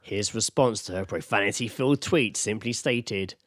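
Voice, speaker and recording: Low-voiced sweary British man, dry sound. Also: moderate pace, fairly bright tone, no echo. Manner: sweary, jokey, sarcastic. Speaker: podcast co-host. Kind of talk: casual comedic chat, recounting news stories.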